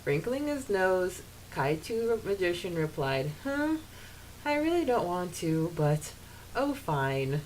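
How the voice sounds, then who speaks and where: A woman co-hosts a podcast with very lively intonation and a leisurely pace. She is giggly and informal, switching between chat and expressive reading, with a faint hiss on the recording. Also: lowish voice, slow pace, rough recording. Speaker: podcast co-host.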